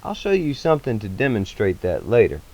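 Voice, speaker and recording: A man voicing an eccentric, gentle male scientist, earnest and childlike, with slow, emphatic phrasing in a story reading. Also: on the low side, huge pitch swings, dull audio, exaggerated voice. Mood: earnest, insistent.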